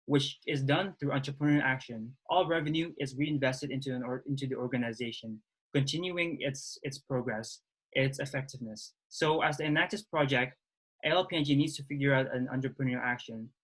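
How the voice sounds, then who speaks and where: A man's voice: medium pitch, a bit bright, breathy edge, rough recording. Young man hosting a student webinar. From Indonesia. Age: young adult. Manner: halting, with restarts and fillers. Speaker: webinar host, student society president. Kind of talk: webinar introduction.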